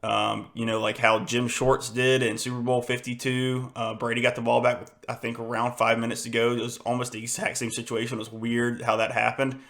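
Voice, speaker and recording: A young man hosting a casual sports podcast, hoarse and losing his voice, rambling with many fillers and restarts in a frustrated tone. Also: mid-range voice, flat delivery, a little fast, few pauses, fairly bright tone. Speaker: podcast host.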